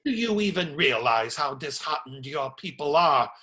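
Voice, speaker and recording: An actor playing an earnest, righteous priest who admonishes firmly in a radio play. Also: male voice, on the high side, animated, normal speed, pushed and bright. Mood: righteous indignation.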